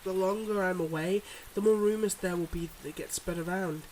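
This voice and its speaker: Guy acting as solemn devoted mob boss boyfriend, asmr roleplay, slightly high voice, earnest n halting, bit of hiss behind. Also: steady tone, a little mellow, lightly acted, rough recording. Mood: solemn, regretful. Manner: earnest, measured, halting confessions.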